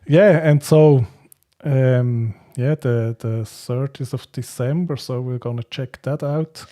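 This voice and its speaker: A man hosting a casual livestream chat, speaking hesitantly and haltingly with frequent chuckles. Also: medium pitch, warm tone. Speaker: livestream host.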